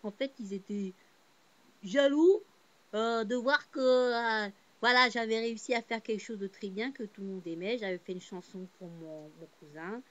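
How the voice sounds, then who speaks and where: A woman's voice: fairly high pitch, normal speed, highs cut off. Woman, casual monologue, rambling and heartfelt, full of fillers. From born in Portugal, Cape Verdean family. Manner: rambling, many fillers and restarts; heartfelt, swings between subdued and animated.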